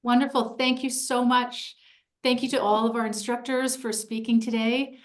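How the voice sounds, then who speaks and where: A woman presenting an info session in a clear, organized, upbeat way. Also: fairly high pitch, little pitch movement, normal speed.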